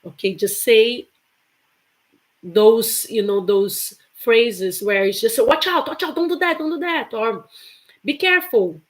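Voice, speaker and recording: Woman, English teacher, somewhat high voice, slow, chatty. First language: Portuguese. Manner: chatty, repeats example phrases with laughs. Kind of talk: live online English lesson.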